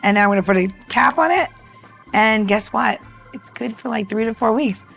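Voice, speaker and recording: A woman's voice: on the high side, animated, moderate pace, vocal fry, a bit bright, soft background music. A woman co-hosting a podcast, chattily walking through a drink recipe step by step. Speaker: podcast co-host and cocktail maker. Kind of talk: casual chat and demonstration.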